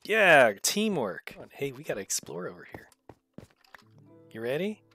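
Casting: woman gamer host, very deep voice, lively swings in pitch, encouraging, exclamatory, music playing behind her. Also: measured pace, creaky, husky. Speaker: gaming video host playing alongside a child. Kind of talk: casual gameplay commentary for kids.

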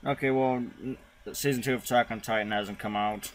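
A man's voice: medium pitch, fairly even intonation, a little fast. A young man playing a strategy game, chatting casually and joking about his own bad progress. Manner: chatty, self-mocking, hums while playing. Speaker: gamer recording a let's play. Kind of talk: casual gaming chat.

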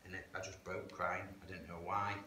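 A low-voiced man tells his story in a frank, plain-spoken, conversational way. He is picked up by a distant camera in a reverberant church hall, and a steady hiss sits under his voice. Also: brisk. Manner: frank, plain-spoken, chatty with local slang. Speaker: guest sharing life story. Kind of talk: candid personal testimony. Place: church hall, indoors, medium-sized. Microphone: far camera. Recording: echoey hall with background hiss.

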